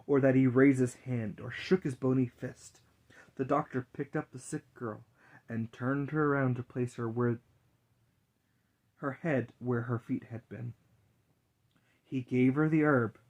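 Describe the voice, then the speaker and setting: Husky man reading a fairy tale dramatically. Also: mid-range voice, darkish tone. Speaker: storyteller.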